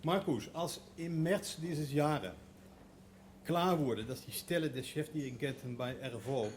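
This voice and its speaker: A man whose first language is Dutch, giving an earnest, measured formal speech at a ceremony. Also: on the high side, slight background noise. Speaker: orchestra organization director giving a speech. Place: hall at a contract signing ceremony.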